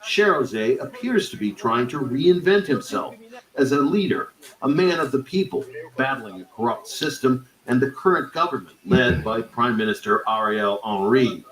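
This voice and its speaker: A male reporter reading a scripted news report in a measured, even delivery. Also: medium pitch, roomy sound, poor audio.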